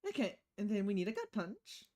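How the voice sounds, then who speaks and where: A woman's voice: mid-range voice, sing-song, fairly quick, mellow. A woman streaming a game, casually talking through her playthrough.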